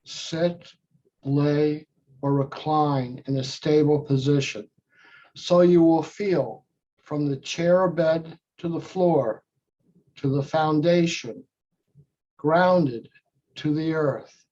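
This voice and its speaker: Guy reading a guided meditation real slow, lots of pauses, kinda muffled lo-fi sound. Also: on the high side, fairly even intonation, darkish tone. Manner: measured, short phrases with long pauses. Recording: dull lo-fi audio.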